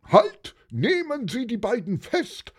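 An indignant man denouncing crooks in a storybook tale, acted by a male narrator. He calls out commands in a raised, high voice that jumps around. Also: wildly swooping pitch, slow, breathy edge, heavily acted. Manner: shouting, commanding.

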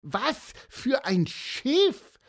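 Woman doing an eager, high little boy character. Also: big pitch swings, very slow, exaggerated voice. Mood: excited. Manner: eager, breathless questions. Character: excitable, curious little male listener. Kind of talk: storybook character voice.

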